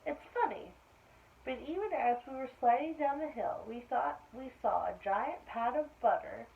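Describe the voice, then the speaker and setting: A woman's voice: sits high, lively intonation, moderate pace, vocal fry. A woman reading a picture book aloud to children, explaining things simply in asides to young listeners. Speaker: story-time reader for children. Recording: dull lo-fi sound with faint noise.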